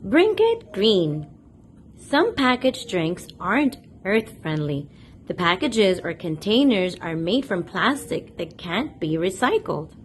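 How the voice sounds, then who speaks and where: A woman reading a picture book aloud for young children, speaking deliberately and addressing her listeners directly. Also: mid-range voice, very animated, slight background noise. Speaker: host of a children's read-aloud channel.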